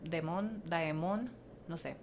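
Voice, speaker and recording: A woman whose first language is Spanish hosts a casual livestream commentary. Her voice sits low, and she is blunt and sarcastic, swearing freely as she reacts. A faint hiss of noise runs under her voice. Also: unhurried, darkish tone. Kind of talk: casual commentary reading posts aloud.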